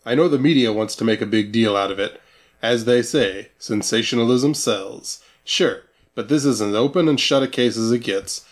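A mid-pitched male voice acted as a veteran police chief in a noir radio comedy. He is brisk and commanding, delivering everything with a straight, deadpan authority. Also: lightly acted. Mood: firm, dismissive. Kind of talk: comic noir radio play dialogue.

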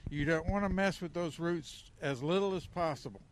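A man's voice: a little high, normal speed, slight background noise. A man giving practical gardening advice on a radio show, plainspoken and repeating himself as he talks. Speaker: regular panelist on a garden show. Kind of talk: casual advice chat.

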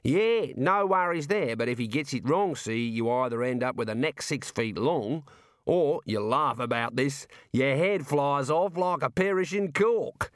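A man's voice: mid-range voice, animated, measured pace, no echo, clean studio sound, pitched above the performer's own voice, lightly acted. Man playing a jovial Aussie prison warder. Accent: Australian. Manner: jovial, breezy, matey. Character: cheerful chatty male prison warder, jovially morbid, folksy.

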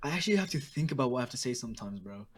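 A man's voice: medium pitch, sing-song, very fast, a crowd in the background. A young man co-hosting a casual podcast over a video call, laid-back, laughing often and saying "bro" a lot. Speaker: podcast co-host. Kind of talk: casual chat.

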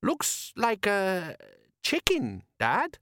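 A man's voice: huge pitch swings, extremely slow, noisy background, exaggerated voice. A man voicing a young fisherman's son in an audiobook, naive and anxious, with a plaintive, questioning way of talking. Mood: doubtful. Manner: high-pitched, querying, plaintive.

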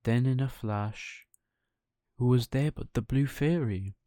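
A man narrates a bedtime story for children. His delivery is slow and his tone is mellow, recorded very dry. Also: mid-range voice, no room sound.

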